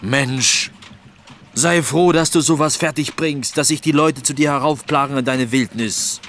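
An actor playing an earnest, persistent village potter, pleading and insistent, in a German radio play with background noise. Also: male voice, mid-range voice, measured pace, a bit bright, close to an everyday voice.

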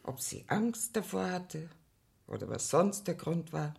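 A woman's voice: lowish voice, big pitch swings, a little slow, creaky, a little mellow, breathy edge, full character voice. An actress playing a talkative, deferential working-class mother of many in a German radio play, chatty and rambling. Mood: gossipy, confiding. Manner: chatty, rambling, deferential, quoting herself and others.

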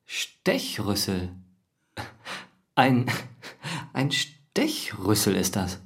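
A man's voice: fairly low pitch, wildly swooping pitch, drawn-out words, gravelly, fairly bright tone, heavily acted. A man acting a polite, sociable, slightly flustered office colleague in a German radio drama, hesitant and eager to include others.

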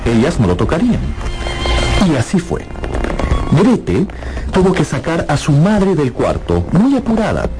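A man's voice: on the high side, wildly swooping pitch, measured pace, pauses often, poor audio. A man narrating a scripted dramatic story for a radio audiobook. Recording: music behind narration.

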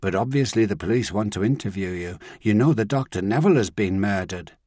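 A man reading an audiobook, voicing a calm, composed older woman and grandmother who talks in a measured, reassuring way. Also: lowish voice, no echo, clean studio sound. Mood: calm, firm.

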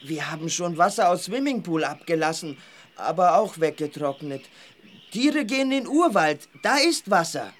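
A woman's voice: on the low side, animated, normal speed. A woman playing a friendly young African hotel boy who speaks eagerly in simple, broken grammar in a children's audio drama. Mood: worried.

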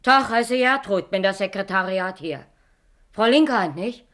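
A blunt woman secretary in a radio drama, with a medium-pitched voice. She is chatty yet grumpy, speaking in clipped, colloquial phrases with a sardonic, outspoken manner. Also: expressive, measured pace, fairly bright tone. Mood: grumpy, frank. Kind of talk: 1980s German radio drama acting.

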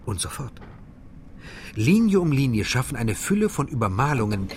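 An actor playing an earnest male interpreter translating a lecture in a radio play, measured and explanatory. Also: mid-range voice, big pitch swings, a little hiss or hum. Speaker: interpreter voice-over.

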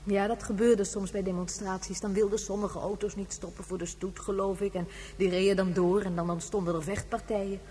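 An actress as a very old woman in a sci-fi radio play, gentle and reflective. Her voice sits at an ordinary female pitch and rings in a long reverb over a humming noise. She tells things in a measured, reminiscing way. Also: flat delivery, natural voice. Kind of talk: Dutch science fiction radio drama acting.

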